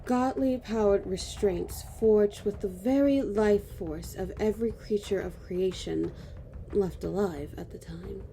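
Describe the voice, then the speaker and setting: A woman's voice: mid-range voice, dark tone. A woman playing a grave elven noblewoman who recounts ancient history somberly in a fantasy audio drama. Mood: somber, measured. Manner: measured, somber. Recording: clear background noise and ambience.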